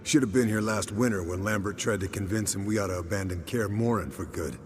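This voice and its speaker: An actor playing an easygoing, teasing monster hunter in a fantasy video game, with a laid-back, wry manner. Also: male voice, fairly low pitch, fairly quick, big-room sound, lightly acted. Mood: amused, storytelling. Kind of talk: cinematic game voice acting.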